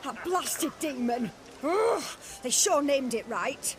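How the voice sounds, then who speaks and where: A woman's voice: high-pitched, very animated, slow pace, a crowd in the background, exaggerated voice. A fussy, dramatic mother of the bride. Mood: gushing. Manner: gushing, theatrical, exasperated.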